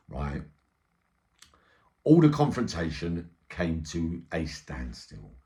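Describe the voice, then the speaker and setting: Very deep-voiced man telling stories. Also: a little mellow. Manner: streetwise, slangy, punctuated with check-in tags. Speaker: storyteller and channel host. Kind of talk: informal first-person eyewitness storytelling.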